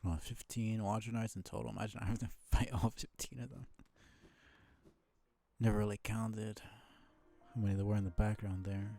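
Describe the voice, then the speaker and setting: A young man giving casual, low-key livestream commentary on a game, rambling without hurry over game music. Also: flat delivery, normal speed, vocal fry, pauses often, warm tone. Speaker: game streamer.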